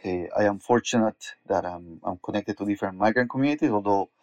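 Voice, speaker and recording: A man's voice: fairly low pitch, quick pace, a little mellow, dry recording. Earnest man from Colombia, dry sound. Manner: earnest, searching, with restarts. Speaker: activist and educator, guest. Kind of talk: conversational interview.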